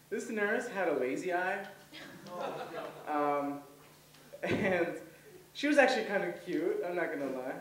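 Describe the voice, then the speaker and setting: A young man whose first language is Hebrew doing his first stand-up set, rambling with lots of ums and laughing at his own jokes. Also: fairly high pitch. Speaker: stand-up comedian. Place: comedy event stage. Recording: roomy, voice from far off.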